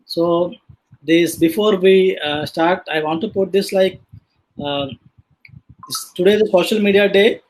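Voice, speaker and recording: Man, fairly high pitch, live session host, casual introductions, hesitant, filler-heavy, halting phrasing. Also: darkish tone, slight background noise, rough recording. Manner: hesitant, halting delivery with many filler sounds. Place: online live video session.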